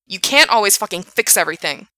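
A woman voicing an angry young male park worker who rants loudly and swears. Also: fairly high pitch, normal speed, gravelly, no echo, full character voice. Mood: furious. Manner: loud, swearing, ranting.

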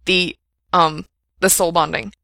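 Woman doing a nervy young guy, blurts stuff loud, low gravelly voice. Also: fairly even intonation, moderate pace, dry recording, clean studio sound. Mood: flustered. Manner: loud, strained outbursts. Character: anxious young man, tense and defensive. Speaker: reader voicing a character.

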